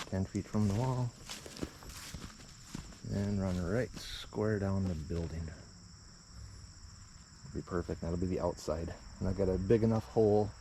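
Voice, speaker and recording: A man talking casually and plainly with folksy phrasing, like a contractor narrating his work on a job-site vlog outdoors. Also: deep voice. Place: outdoors at a garage building site. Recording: noisy outdoor recording with insects.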